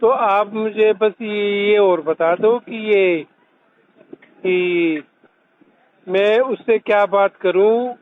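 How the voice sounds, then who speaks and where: A man on a recorded phone call, speaking haltingly and asking questions to get things clarified. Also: high voice, clear, resonant tone. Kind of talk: phone conversation, mostly listening. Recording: narrow, tinny phone-call audio.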